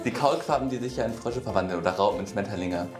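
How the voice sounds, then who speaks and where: A man's voice: fairly low pitch, fast, over loud music. A young man chatting casually as he answers questions in a game. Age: young adult. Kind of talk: casual chat. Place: bright indoor room, standing in a group.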